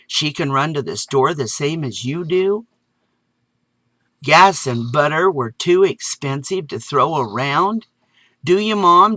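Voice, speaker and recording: An eager young boy who begs over and over, his voice pitched well up and pushed loud, put on by a man reading. Each plea lands hard on "Mom". The reading is close and dry, with no room around it. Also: no echo, low-quality recording. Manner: pleading, repeats "Mom". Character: earnest little boy, pleading.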